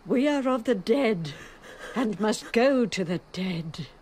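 An actress playing an anguished outcast mother in a radio drama, loving yet resolute, with hushed urgency that breaks into anguish. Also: medium pitch, animated, slow pace, noisy background. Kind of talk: emotional radio drama acting.

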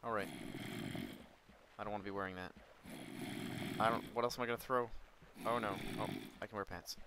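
Young guy chatting thru a game, lowish voice, kinda hoarse, noisy mic w/ clicks. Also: fairly even intonation, fairly quick. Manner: chatty, talks himself through play, yelps when hurt. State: voice cracking, a bit hoarse. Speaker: Minecraft let's player. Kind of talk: casual gameplay commentary.

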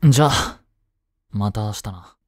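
A man's voice: lowish voice, measured pace, darkish tone, dry recording, clean studio sound, close to an everyday voice. A young man playing a lovestruck, jealous schoolboy in a romantic voice drama, speaking casually with hesitations and sighs. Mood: teasing, then resolute. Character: lovestruck, jealous teenage schoolboy childhood friend. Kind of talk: Japanese romantic voice drama acting.

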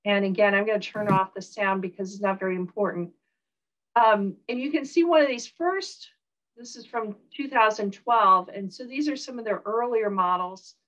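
A woman professor with a Southern US accent giving a recorded online lecture, relaxed, with frequent ums and uhs. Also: medium pitch, moderate pace, poor audio.

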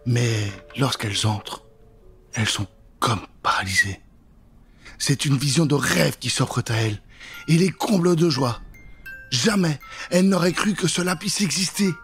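A man's voice: mid-range voice, measured pace, long pauses. A middle-aged man telling a scary children's tale in a theatrical, suspenseful way, close on a studio mic. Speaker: storyteller. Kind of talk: dramatic storytelling for children. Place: small indoor recording set. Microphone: studio mic with pop filter, close. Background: music.

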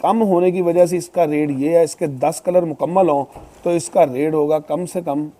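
A man giving a persuasive, conversational sales pitch as a shop seller. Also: fairly even intonation, moderate pace, warm tone. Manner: persuasive, repeatedly checks with "okay?". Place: fabric shop, indoors, seated on floor. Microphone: clip-on lapel mic.

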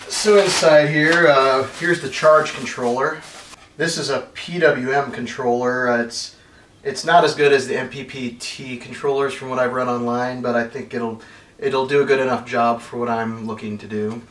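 A man's voice: medium pitch, normal speed. Man unboxing gear in a roomy living room. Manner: relaxed and plainspoken, many ums. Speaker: RV owner reviewing gear. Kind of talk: unboxing review. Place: carpeted living room at home. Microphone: far camera. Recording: roomy, from far off, faint noise.

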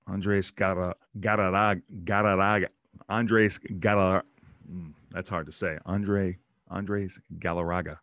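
A man in his thirties hosting a casual solo show, rambling and joking as he opens card packs in a small home room on a boom mic. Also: fairly even intonation, a little slow, a bit bright, dry recording. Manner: rambling, joking asides about players. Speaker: podcast host opening baseball card packs. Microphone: studio mic on boom arm, headphones.

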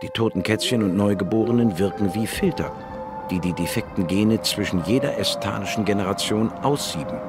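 An actor playing a weary male biologist narrating a science fiction radio play in a measured, matter-of-fact way. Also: fairly low pitch, over loud music, natural voice. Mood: grave, explanatory. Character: male biologist Ian, weary first-person narrator of alien expedition.

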